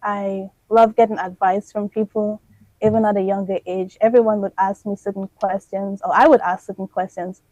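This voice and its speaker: A woman's voice: mid-range voice, flat delivery, normal speed, warm tone, dry recording, highs cut off. A woman, reflecting in a casual radio interview and laughing often. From Dominica. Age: 20s. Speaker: interview guest.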